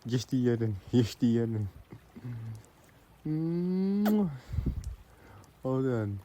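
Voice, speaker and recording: A mellow, unhurried vampire boyfriend, acted by a man, speaking at a medium pitch straight to his sweetheart. He is possessive and doting, with a tender, teasing manner suited to intimate audio roleplay. A light wash of background noise sits behind the voice. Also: big pitch swings. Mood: playful, giddy.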